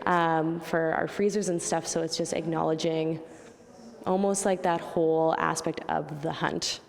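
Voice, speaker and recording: A woman's voice: low-pitched, fairly even intonation, measured pace, creaky, big-room sound. A young woman artist from Manitoba, Canada, reflecting casually in an interview with frequent "like" and "you know". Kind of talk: reflective interview talk about own art.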